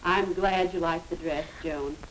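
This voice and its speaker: A woman's voice: lowish voice, steady tone, a little slow, lightly acted. A woman playing a kindly, motherly suburban housewife in a 1950 educational film. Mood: pleased. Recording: noisy, crackly 1950 film soundtrack.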